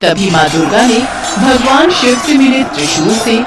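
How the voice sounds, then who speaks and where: A woman's voice: fairly high pitch, sing-song, moderate pace, recorded from a distance, loud music behind the voice. A woman narrating a scripted mythological tale in a dramatic storytelling style.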